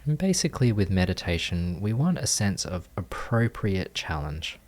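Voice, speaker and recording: A man leading a guided meditation, giving gentle, calm instructions in measured phrases with long pauses. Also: low-pitched. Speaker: meditation teacher.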